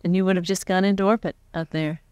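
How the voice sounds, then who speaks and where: A woman's voice: lowish voice, fairly even intonation, fast talker, a little mellow, clear, resonant tone, dry recording. A middle-aged woman speaking conversationally as an interviewer. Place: stage before a live audience. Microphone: large foam-covered microphone on a stand, close.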